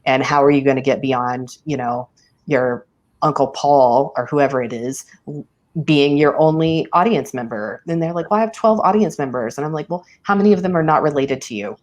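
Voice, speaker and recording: Very low-voiced woman, candid and chatty. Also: moderate pace. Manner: candid, laughs often, many ums. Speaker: public radio station director. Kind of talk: casual conversational interview.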